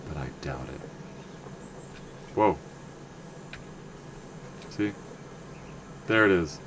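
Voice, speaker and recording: A man casually commenting on his game for viewers, rambling and correcting himself as he goes, with a loud voice. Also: deep voice, expressive, drawn-out words, background noise. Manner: rambling, self-correcting, chatty asides to viewers. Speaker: livestream game player.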